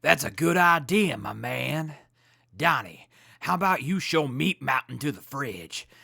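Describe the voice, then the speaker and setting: A man's voice: mid-range voice, very animated, a little slow, gravelly, sharp tone, slightly rough, dry recording, clean studio sound, exaggerated voice. A man playing a laid-back wasteland crew leader in a radio drama, casual, slangy and breezily in charge. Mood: easygoing, confident. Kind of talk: post-apocalyptic radio drama acting.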